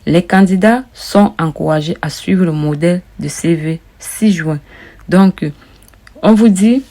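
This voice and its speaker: A woman with a lowish, gravelly, mellow voice talks viewers through an online form. She repeats herself often and calls her audience friends. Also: a little slow. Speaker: immigration advice vlogger. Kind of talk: casual walkthrough of an application form.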